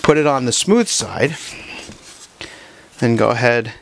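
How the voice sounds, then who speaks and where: A man's voice: mid-range voice, vocal fry. A man teaching hand-tool woodworking in his shop, talking casually with frequent fillers and restarts while he demonstrates. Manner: relaxed, halting, many fillers and restarts.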